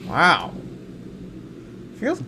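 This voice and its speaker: A man giving casual commentary as he plays through a game, halting and often repeating his phrases. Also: a little high, huge pitch swings, creaky, background noise. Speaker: gaming streamer. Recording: game music behind the voice.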